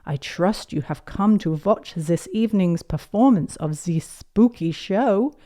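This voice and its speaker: A woman's voice: medium pitch, lively intonation, measured pace, dark tone, close to an everyday voice. A woman voicing a jolly old grandfather pig in a children's storybook, playful and hammy. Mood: spooky, theatrical.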